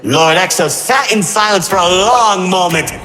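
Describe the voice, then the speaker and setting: A man's voice: high-pitched, normal speed, bright, forceful tone. A man voicing a gleefully cruel trickster villain in a game, telling tales of war in a mocking, flippant, melodramatic way. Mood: dramatic storytelling. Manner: mocking, flippant, melodramatic, swinging between grandeur and glee. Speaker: narrator villain. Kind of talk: theatrical storytelling narration. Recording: echoey hall reverb over music. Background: music.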